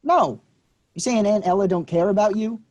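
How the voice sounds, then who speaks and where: An actor reading a quick-tempered young man, combative and swearing in a staged play reading. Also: high voice, darkish tone, lo-fi sound.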